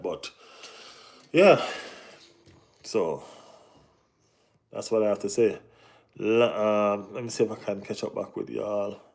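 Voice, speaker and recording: A Jamaican man hosting a casual football live stream, reading and answering chat, often saying "you know what I mean". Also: on the low side.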